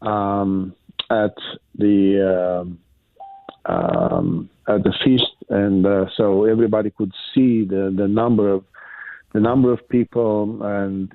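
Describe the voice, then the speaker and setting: A man from Romania, a priest calling in to a radio show, speaking haltingly with many hesitations. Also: fairly low pitch, gravelly, no room sound. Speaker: caller, priest. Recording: tinny phone line.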